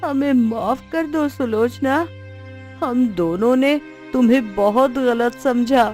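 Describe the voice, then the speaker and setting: A woman voicing a sorrowful, self-blaming village woman in a cartoon moral tale, with slow, dramatic delivery. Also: fairly high pitch, darkish tone, soft background music. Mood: remorseful. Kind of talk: Hindi cartoon story voice acting.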